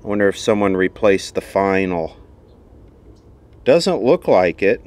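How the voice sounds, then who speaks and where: A man's voice: low-pitched, expressive, unhurried, darkish tone. A man giving casual, off-the-cuff commentary as he looks over vintage electronics, with dry, sardonic asides. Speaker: vintage electronics repair host.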